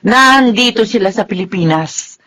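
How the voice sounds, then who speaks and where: A woman's voice: medium pitch, lively intonation, moderate pace, bright, forceful tone. A woman playing an opinionated, motherly Filipina aunt in a radio drama, chatty and full of scolding exclamations. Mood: exasperated.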